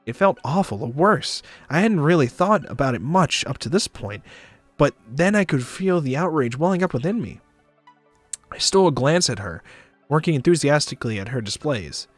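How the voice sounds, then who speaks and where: A man's voice: on the high side, expressive, moderate pace, no room sound, soft background music. A man narrating a visual novel in the first person on a stream, with casual asides.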